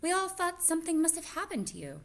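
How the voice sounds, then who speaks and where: A woman's voice: extremely high pitch, sing-song, fast, voice pushed up, exaggerated voice. A woman voicing a chatty, nosy female mouse in a storybook, insistent and exclaiming. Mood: fussing, concerned.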